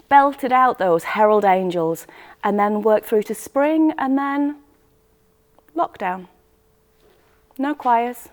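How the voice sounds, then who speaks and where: A lonely, self-mocking woman played by an actress tells her own story in a dramatic monologue. Her voice sits fairly high, and she speaks intimately and expressively in short, clipped phrases. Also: a little mellow. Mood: wistful, subdued. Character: lonely woman choir member living alone, wistful, self-mocking. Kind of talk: first-person dramatic monologue.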